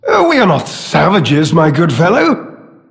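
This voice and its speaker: A man playing a scheming, cowardly and self-serving informant in a video game, speaking in halting, theatrical phrases with mock politeness. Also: a little high, lively intonation, soft background music. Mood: mock-polite, menacing. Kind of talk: video game character dialogue. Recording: echoey hall with long reverb.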